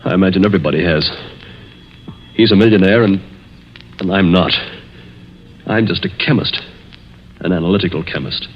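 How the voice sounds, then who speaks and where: Man acting a low-voiced, brooding chemist, vintage radio. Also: faint music behind, close to an everyday voice. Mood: brooding, confiding. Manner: halting, earnest, brooding delivery. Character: tense, bitter, struggling chemist, proud and desperate. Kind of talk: 1940s radio mystery acting. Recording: muffled, noisy 1940s radio recording.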